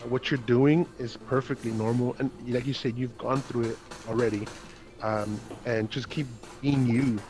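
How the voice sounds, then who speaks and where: A man hosting a heartfelt conversation, speaking earnestly and haltingly, with many restarts and repeated words. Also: mid-range voice, fairly even intonation, darkish tone, poor audio.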